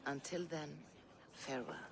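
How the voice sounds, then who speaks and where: An actress playing a cryptic Norse seer in a video game, quiet, grave and prophetic. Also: female voice, very deep voice, moderate pace, background noise. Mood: solemn. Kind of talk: video game cinematic acting.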